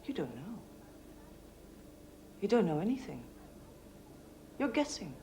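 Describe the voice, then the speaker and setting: Scornful suspect, voice jumps all over, pushes back hard. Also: female voice, medium pitch, sing-song, slow, warm tone, slight background noise. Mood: defiant. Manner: defiant, scornful. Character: defiant female suspect under interrogation. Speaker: suspect under questioning. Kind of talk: 1980s TV drama acting.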